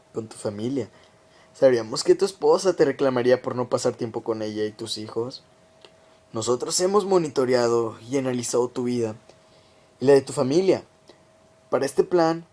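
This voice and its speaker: A man playing a cold government conspirator in a radio drama, confessing a plot to his victim in a deliberate, taunting, menacing way. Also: mid-range voice, darkish tone, lightly acted. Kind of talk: amateur radio drama monologue.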